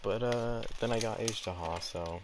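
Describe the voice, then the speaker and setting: A man's voice: on the low side, normal speed, background noise. A young man giving casual gameplay commentary, rambling and hesitant and often repeating himself, with game music behind him. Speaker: gaming video creator.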